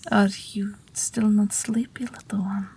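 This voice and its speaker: Woman acting a whispering, gentle night witch. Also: mid-range voice, full character voice. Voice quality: breathy whisper. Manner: soft, soothing, hesitant, with gentle amusement. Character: gentle night witch aviator, old but youthful, soothing a patient. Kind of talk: whispered audio roleplay, intimate bedtime monologue.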